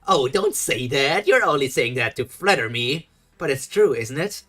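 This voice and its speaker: A man voicing a boastful, arrogant male pirate gladiator who taunts in a smug, self-flattering way while reading a story aloud. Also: medium pitch, moderate pace.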